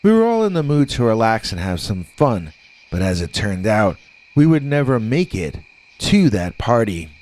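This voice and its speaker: A man narrating a scripted first-person scary story in a measured, conversational storytelling manner. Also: fairly low pitch, big pitch swings, a little mellow.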